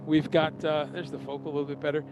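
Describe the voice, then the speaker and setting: A man's voice: on the high side, little pitch movement, fast talker, music in the background, rough recording. A man in his fifties from Guyana, hosting a show with a loose, rambling casual monologue. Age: 50s. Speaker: host.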